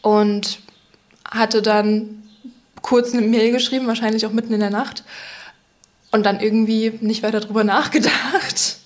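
A woman in her twenties from near Leipzig, telling her story as a podcast guest in a relaxed, hesitant way with frequent fillers. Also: fairly high pitch, a little slow, pauses often. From eastern Germany, near Leipzig. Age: 20s. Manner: relaxed, searching for words with frequent "ähm" fillers. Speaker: interview guest. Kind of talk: casual personal conversation.

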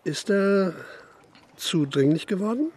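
A man playing a sober, persistent police investigator in a radio drama, questioning in a deliberate, matter-of-fact way. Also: sits high, animated, darkish tone, breathy edge, exaggerated voice. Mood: probing.

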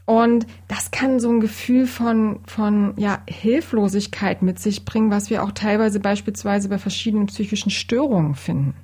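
A woman with a slightly husky voice, talking as a psychologist and explaining things conversationally on a podcast. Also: mid-range voice, moderate pace, few pauses, a little mellow.

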